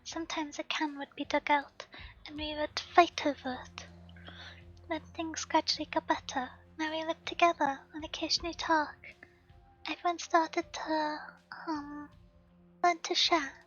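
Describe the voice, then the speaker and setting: A hoarse woman reading the lines of an earnest, gentle young village girl from a game. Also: high-pitched, little pitch movement, unhurried. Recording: slightly dull stream audio, faint game music.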